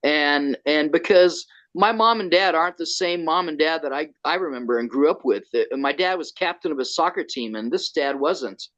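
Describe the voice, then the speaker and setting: A man running a conference, talking excitedly and rambling with lots of restarts over a video call. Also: a little high, normal speed, few pauses. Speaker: conference organizer. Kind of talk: casual video call chat. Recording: dull lo-fi call audio.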